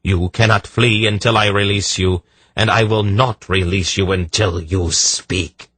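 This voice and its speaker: A man's voice: sits low, measured pace, husky, pitched above the performer's own voice. A man voicing a stern sorceress in a fantasy audiobook, cold, imperious and sure of her power. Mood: stern, commanding.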